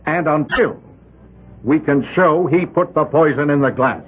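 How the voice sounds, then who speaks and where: An actor playing a calm lawyer who questions witnesses in measured, methodical turns, in a 1970s radio drama. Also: male voice, fairly high pitch, breathy edge. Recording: thin, phone-like old radio recording.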